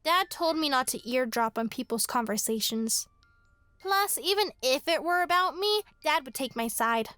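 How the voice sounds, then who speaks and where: A woman's voice: high voice, animated, moderate pace, a bit bright, dry recording, faint music behind. A woman playing a spoiled, petulant young girl in a horror radio drama. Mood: dismissive. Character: spoiled, sulky young girl, vain and lonely.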